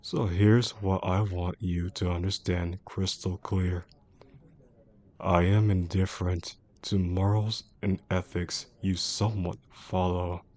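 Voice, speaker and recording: Man acting a low, gravelly, possessive boss. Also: level tone, a little mellow, heavily acted. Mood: cold, threatening. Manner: controlled, deliberate, formal wording. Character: possessive, menacing male boss obsessed with an employee. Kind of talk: scripted romantic audio roleplay acting.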